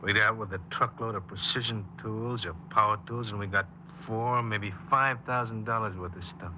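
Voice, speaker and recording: Low-voiced cold killer, old noisy radio. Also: male voice, steady tone, normal speed, sharp tone. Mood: cool, calculating. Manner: matter-of-fact, clipped, commanding. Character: cold remorseless male killer and burglar, cocky. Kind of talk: 1950s radio crime drama acting. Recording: noisy, dull 1950s radio recording.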